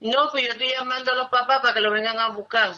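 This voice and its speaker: Female, high-pitched, defiant, terms of endearment, noisy phone recording. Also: fairly even intonation, fast talker, bright, forceful tone, dull audio. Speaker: prank call target. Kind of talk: heated phone conversation.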